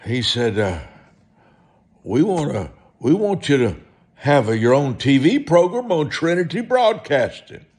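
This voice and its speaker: A man preaching in a personal testimony style, folksy and deliberate, repeating phrases for emphasis. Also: mid-range voice, big pitch swings, darkish tone. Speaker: preacher, evangelist.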